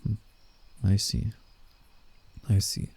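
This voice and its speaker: A man playing a guarded, teasing but soft-hearted werewolf in a scripted roleplay ASMR drama, speaking in a measured, reassuring way. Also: close to an everyday voice. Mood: earnest, guarded. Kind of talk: roleplay ASMR, scripted character acting with inner monologue.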